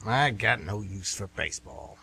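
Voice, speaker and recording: A man's voice: on the low side, animated, moderate pace, gravelly, bright, forceful tone, slightly rough, heavily acted. An actor playing a folksy, grumbling retired man who rambles and gets defensive, in a radio drama. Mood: irritated. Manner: rambling, folksy, plain-spoken.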